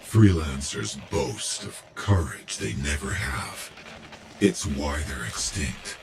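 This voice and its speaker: An actor playing a menacing, arrogant video game villain, speaking in a measured, condescending, formal manner with a very deep gravelly voice. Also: male voice, expressive, slight background noise, full character voice. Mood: cold contempt.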